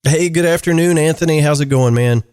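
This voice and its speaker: A man hosting a podcast interview in relaxed, easygoing chat, often stumbling over words and filling pauses. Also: on the high side, clean tone. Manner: easygoing, lots of fillers and restarts. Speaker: podcast host.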